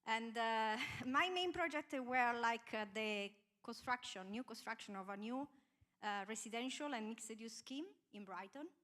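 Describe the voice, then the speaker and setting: A woman in her thirties with an Italian accent introducing herself on a panel, pausing often with filler sounds. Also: fairly high pitch, fairly bright tone. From Italy. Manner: hesitant, many filler sounds. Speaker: panelist, architect.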